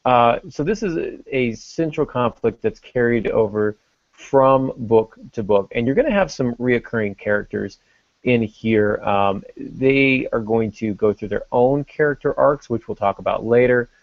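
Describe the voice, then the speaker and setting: Creaky-voiced man hosting a podcast. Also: mid-range voice, measured pace, no echo, lo-fi sound. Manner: joking, thinking aloud. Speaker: podcast host. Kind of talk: casual explanatory podcast talk.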